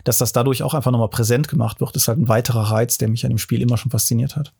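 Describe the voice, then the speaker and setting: A man's voice: mid-range voice, steady tone, rapid-fire, creaky, a little mellow, husky. A man in his forties speaking fluently and explaining his points at length in a casual panel discussion. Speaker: podcast panelist.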